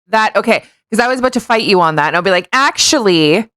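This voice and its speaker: A woman's voice: mid-range voice, lively intonation, quick pace, fairly bright tone, dry recording, clean studio sound. A woman co-hosting a podcast in casual chat, with blunt, teasing, sarcastic commentary and mocking reading aloud. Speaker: podcast co-host.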